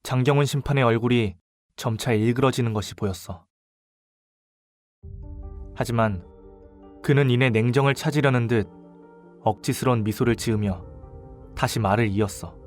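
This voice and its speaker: A male actor playing a young student detective in an audio drama, speaking with polite, deliberate and dramatic composure. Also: medium pitch, faint music behind, lightly acted. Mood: grave narrating. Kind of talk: audio drama acting with first-person narration.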